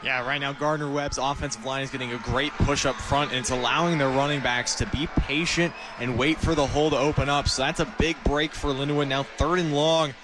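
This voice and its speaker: Mid-pitched American football color analyst. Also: male voice, steady tone, brisk, hardly any pauses.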